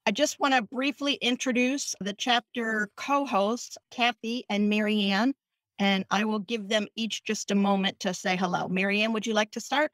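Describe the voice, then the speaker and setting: A woman welcomes attendees to an online nonprofit meeting over a video call, her talk chatty and informal. Her voice has a middle pitch and a close, dry sound. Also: steady tone, normal speed, fairly bright tone, no room sound, rough recording. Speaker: chapter co-host. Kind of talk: event welcome.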